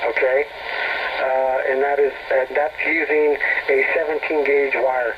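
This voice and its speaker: A man's voice: mid-range voice, flat delivery. Man on a dull, noisy radio signal. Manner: halting, repeats words while explaining. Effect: two-way radio transmission. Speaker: amateur radio operator. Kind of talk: casual technical chat over two-way radio. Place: heard over a radio link.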